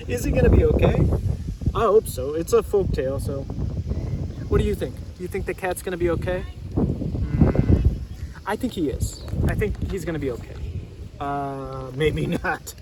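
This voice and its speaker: A man's voice: a little high, lively intonation, normal speed, long pauses, heavy background noise. A man reading a storybook aloud as a teacher, casually chatting and prompting listeners with questions.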